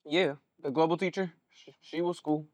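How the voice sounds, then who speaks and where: Mild, earnest college-age son, slightly high male voice, dry close sound, placating stage drama delivery played by a man. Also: fairly even intonation, normal speed, no room sound, close to an everyday voice. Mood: surprised, fond. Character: mild-mannered college athlete, dutiful son. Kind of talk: stage play dialogue.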